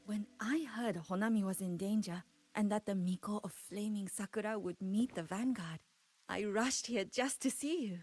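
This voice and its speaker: A woman playing a gentle, devoted young ghost in a video game, speaking earnestly and a little hesitantly. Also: medium pitch, moderate pace, natural voice. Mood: eager, admiring. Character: wistful, devoted young female ghost admirer of a shrine maiden. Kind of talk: game voice acting.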